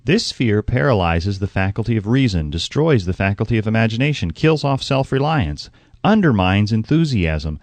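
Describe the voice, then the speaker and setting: A man narrates a scripted audiobook in a low voice with animated intonation. His delivery is formal, measured and emphatic. The sound is dry, with no room echo.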